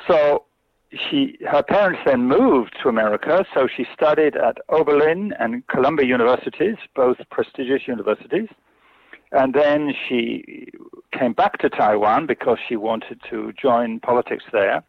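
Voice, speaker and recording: A man's voice: mid-range voice, lively intonation, moderate pace, dry recording. A man answering interview questions as a guest commentator, hesitant, with many fillers and restarts. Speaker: China specialist guest. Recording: tinny phone line.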